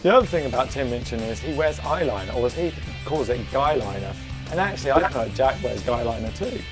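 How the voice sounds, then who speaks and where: A man co-hosting a casual comedy review, croaky from a sore throat and teasing dryly. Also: medium pitch, expressive, brisk, music in the background. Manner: dry, teasing. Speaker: co-host of a review show.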